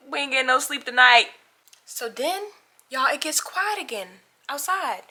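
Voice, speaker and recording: A young adult woman telling a casual, animated story to viewers in a dramatic, slangy way, acting out dialogue as she goes. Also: high voice, slow. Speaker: storytime vlogger.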